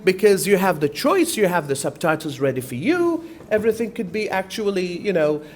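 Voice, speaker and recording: A man in the audience of a conference workshop, speaking emphatically and filling pauses with "basically" and "you know". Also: sits high, sing-song, darkish tone, slight background noise. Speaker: audience member, lecturer. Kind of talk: spontaneous comment. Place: conference room.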